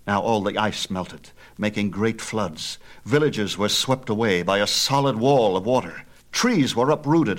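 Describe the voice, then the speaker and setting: A man with a fairly low voice narrates an ancient myth. His delivery is theatrical and emphatic, with measured phrasing suited to dramatic audiobook storytelling.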